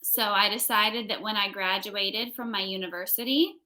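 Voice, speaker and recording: A woman's voice: medium pitch, fairly even intonation, normal speed, pushed and bright, slight background noise. A young American woman tutoring English in a casual one-on-one conversation, phrasing things simply for a learner. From United States.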